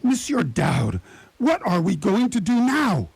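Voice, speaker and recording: Man acting a raspy, swooping, nervous fussy schemer. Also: high-pitched, huge pitch swings, measured pace, no room sound, exaggerated voice. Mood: flustered, prissy. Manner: dithering, dramatic self-questioning, dropping into whispers. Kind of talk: radio theatre acting.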